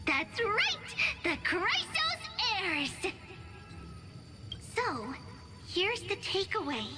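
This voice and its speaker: Very high, childlike storyteller girl. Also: expressive, a little slow. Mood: storytelling. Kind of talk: theatrical storytelling to young listeners. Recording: loud music behind. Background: music.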